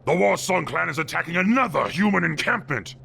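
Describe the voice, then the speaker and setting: An actor playing a gruff, dutiful orc underling in a video game, with a strained, raspy voice. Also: male voice, a little high, lively intonation, brisk, sharp tone, slight background noise, full character voice. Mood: urgent, gruff. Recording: game music behind the voice.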